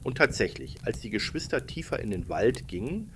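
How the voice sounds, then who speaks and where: A man's voice: medium pitch, sing-song, moderate pace, noisy background. A man narrating a scripted bedtime story for children, explaining things and addressing them directly.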